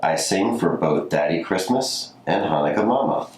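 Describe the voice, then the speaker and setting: A man with a notably deep voice reads a storybook aloud. The recording is roomy and echoey, with faint noise behind him. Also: lively intonation, moderate pace. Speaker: community story reader. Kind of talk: scripted storybook reading.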